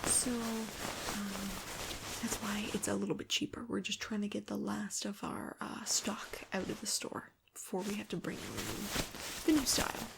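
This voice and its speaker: A woman speaking softly and often in a breathy whisper, gently and a little hesitantly, as a store clerk in an ASMR role-play. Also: mid-range voice, animated, moderate pace, rough recording. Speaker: host role-playing a camping store clerk. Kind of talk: ASMR role-play, soft-spoken sales help.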